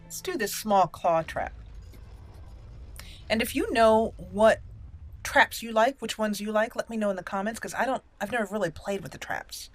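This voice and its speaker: A woman's voice: medium pitch, expressive, moderate pace, soft background music. A woman giving casual gameplay commentary, rambling and thinking aloud as she plays. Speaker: gameplay host.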